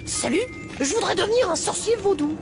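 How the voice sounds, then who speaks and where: An actor voicing a manic, gullible and cheeky cartoon character, excitable and brash, eager for dark powers. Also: very high voice, a little fast, gravelly, exaggerated voice. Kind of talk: cartoon voice acting. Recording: loud music over cartoon audio.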